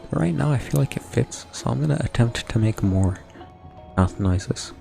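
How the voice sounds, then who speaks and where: A young man streaming a video game, talking to his chat in a soft whisper with mouth noises, his throat sore from whispering. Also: medium pitch, expressive, normal speed, creaky, soft background music. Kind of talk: casual gaming commentary, trying ASMR-style whispering.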